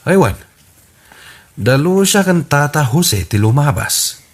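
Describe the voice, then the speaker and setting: A man's voice: a little high, very animated, slow, full character voice. A man playing a scheming old neighbor husband with an Ilocano Filipino accent in a radio comedy drama.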